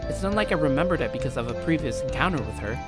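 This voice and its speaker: A woman's voice: low voice, lively intonation, very fast, loud music behind the voice. A woman doing a casual, slangy Let's Play, chatting and reading visual novel text aloud in a playful, informal way.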